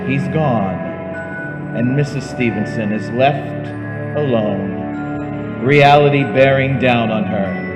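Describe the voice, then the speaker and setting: Theatrical male radio announcer over loud music. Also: medium pitch. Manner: theatrical, measured, portentous. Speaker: announcer and narrator. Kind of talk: scripted radio suspense narration. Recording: echoey hall with long reverb.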